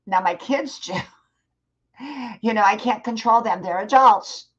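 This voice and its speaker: A woman's voice: fairly high pitch, slow, breathy edge, lo-fi sound. A woman in her sixties chatting casually on a live stream, answering viewers and giving encouragement, often repeating herself. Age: 60s.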